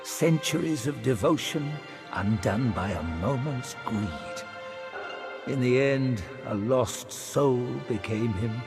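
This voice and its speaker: A man playing a wry, worldly storyteller in a video game, with measured, theatrical, archaic phrasing. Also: medium pitch, over music. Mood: scornful, rueful. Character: wry, worldly male storyteller reflecting on fallen souls. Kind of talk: scripted dramatic game narration.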